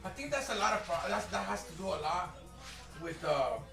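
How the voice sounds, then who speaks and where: A man with a gravelly voice that sits a bit high asks his questions in a laid-back, slangy way. He sounds distant from the mic in an echoey room, with music clearly running behind him. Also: big pitch swings, a little fast. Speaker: interviewer. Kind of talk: casual interview chat.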